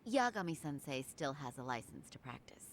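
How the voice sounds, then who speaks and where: A game defense attorney with a very low voice and firm, level delivery. She stays cool and composed against the prosecution. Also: very animated, brisk, slight background noise.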